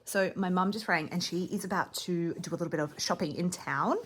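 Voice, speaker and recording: A woman, chatting casually to camera in a lifestyle vlog, with lots of fillers and little laughs, and a slightly croaky voice from a cold. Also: on the low side, brisk, a bit bright. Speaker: vlogger.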